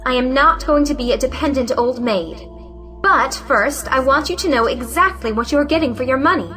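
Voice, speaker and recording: A woman's voice: sits high, moderate pace, sharp tone, faint music behind. A woman playing a frank, defiant young unmarried lady, earnest and plaintive, in a theatrical audio play. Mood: bitterly frank. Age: young adult. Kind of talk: theatrical audio drama acting of a 1917 play.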